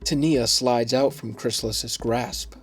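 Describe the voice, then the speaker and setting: A man's voice: medium pitch, steady tone, measured pace, soft background music. A man reading scripted scene descriptions as the narrator of an audio drama.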